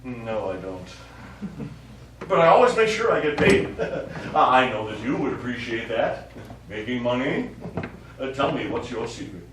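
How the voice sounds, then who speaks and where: A man's voice: medium pitch, big pitch swings, unhurried. An actor playing a glib, money-hungry middle-aged male lawyer in a stage play, hemming and self-amused. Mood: slick, evasive. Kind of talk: live stage play acting. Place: small indoor theater studio. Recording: echoey stage recording from far off, faint noise.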